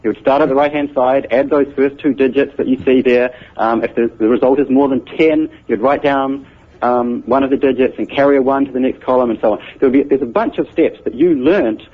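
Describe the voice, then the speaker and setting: A man's voice: medium pitch, fairly even intonation, fairly quick, slightly rough. A man with a New Zealand accent, a computer scientist patiently and methodically explaining ideas as a guest in an interview. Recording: muffled radio broadcast audio.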